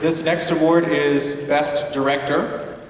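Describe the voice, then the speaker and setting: Higher-voiced male presenter over a loud crowd. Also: level tone, slow pace. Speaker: awards presenter. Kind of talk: live award announcements. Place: large indoor auditorium. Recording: distant echoey hall, loud crowd and noise. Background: loud audience and noise.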